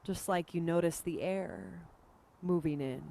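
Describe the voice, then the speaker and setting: A woman leading a guided meditation as a teacher, speaking gently and unhurriedly with pauses between phrases. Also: lowish voice, creaky, a little hiss or hum. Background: music.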